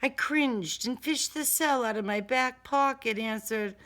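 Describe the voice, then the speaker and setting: Slow-paced woman narrating a crime thriller. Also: fairly high pitch, a bit bright. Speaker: author reading own novel excerpt. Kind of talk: first-person audiobook narration of a crime thriller.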